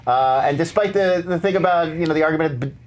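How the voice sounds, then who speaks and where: A man's voice: fairly high pitch, fast talker. A man giving informal, explanatory opinions as a judge on a debate show. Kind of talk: casual opinion commentary.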